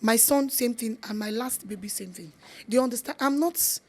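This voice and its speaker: Husky-voiced Nigerian actress, earnest and emphatic. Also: female voice, fairly high pitch, moderate pace. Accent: Nigerian, Yoruba. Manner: earnest, emphatic, repeats points, mixes in Yoruba phrases. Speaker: actress, interview guest. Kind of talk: casual interview chat.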